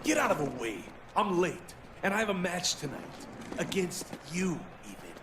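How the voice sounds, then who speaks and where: A man's voice: high-pitched, very animated, moderate pace, noisy background. A man playing a brash, brusque pro wrestler in a video game cutscene.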